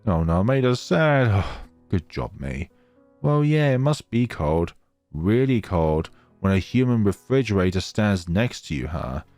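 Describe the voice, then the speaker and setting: A man's voice: lowish voice, very animated, unhurried, darkish tone, dry recording, faint music behind. A man playing an earnest, awkward young traveler in a visual novel, chatty, teasing and self-deprecating. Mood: sheepish.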